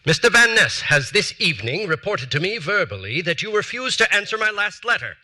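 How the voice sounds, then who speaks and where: An actor in his forties reading letters as a proud, aggrieved statesman, in formal, measured, stiffly offended speech on a theater stage. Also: sits high, very animated, bright, forceful tone, heavily acted. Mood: indignant. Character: proud aggrieved male statesman demanding satisfaction.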